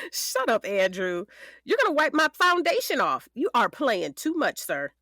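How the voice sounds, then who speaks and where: Bright, animated female defense attorney. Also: on the high side, a little slow, no room sound, studio quality, full character voice. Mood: playfully annoyed. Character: sharp, determined female defense attorney, sarcastic yet caring. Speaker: actor in audio drama. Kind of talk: audio drama acting.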